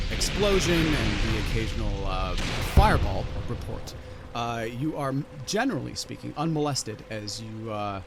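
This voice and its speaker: A man working as game master, narrating a tabletop roleplaying game in a halting, deliberate way that leans on key words. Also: mid-range voice, background noise. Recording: music behind the voice. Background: background music.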